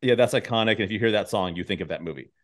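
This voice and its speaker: A man's voice: on the low side, very fast. A man hosting a podcast in casual, joking conversation, rambling along with goofy enthusiasm. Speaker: podcast host.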